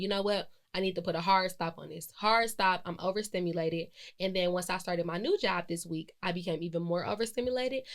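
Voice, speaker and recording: A woman, hosting a solo podcast in a chatty, reflective way, with many fillers and self-corrections. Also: on the low side. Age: 20s. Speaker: podcast host. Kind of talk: casual solo podcast monologue.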